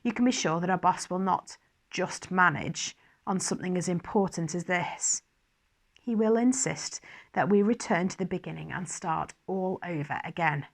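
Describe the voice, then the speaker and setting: A woman's voice: on the low side, moderate pace, vocal fry. A fretful archangel bureaucrat , voiced by a woman, formal and wry, confiding in a diary. The manner is fussy and faintly superior, in a dramatised storytelling style. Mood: anxious, careful. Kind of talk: first-person dramatised diary reading.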